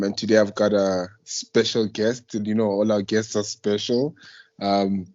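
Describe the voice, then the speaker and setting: A young South African man hosting a casual radio interview, speaking in an informal, slangy way. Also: fairly low pitch. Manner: informal, slangy, effusive reactions. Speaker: radio show host. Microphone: internet voice call. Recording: muffled lo-fi internet call.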